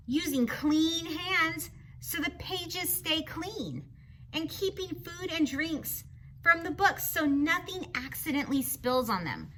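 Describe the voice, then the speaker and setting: A woman reads a children's book aloud in a very bright, high voice. She takes her time, speaking in an animated, teacherly manner and addressing young listeners directly. There is faint noise in the background. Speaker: picture-book reader. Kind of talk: children's read-aloud with explanatory asides.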